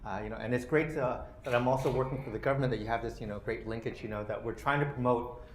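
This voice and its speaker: A man's voice: mid-range voice, fast talker, slight background noise. Man lecturing, filler-heavy. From Canada. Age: 40s. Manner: loose, full of "you know" and "uh" fillers. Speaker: guest speaker. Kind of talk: lecture presentation. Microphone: microphone. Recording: roomy lecture hall sound.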